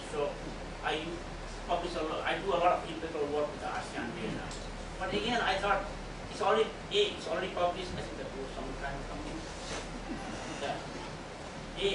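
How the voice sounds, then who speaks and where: A middle-aged man giving an earnest, encouraging lecture to students, with a loud voice. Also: fairly high pitch, recorded from a distance. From Nepal. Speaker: visiting professor giving a lecture. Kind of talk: informal academic talk to students. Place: echoey lecture room. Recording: echoey hall, picked up from afar, noisy.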